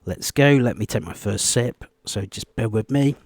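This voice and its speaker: A man with a quiet, lowish voice talks through a Bible study off the cuff, hesitating and restarting often. The room sounds dry and close. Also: expressive, moderate pace, slightly rough, no room sound. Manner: rambling, hesitant, many ums and restarts. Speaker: Bible teacher.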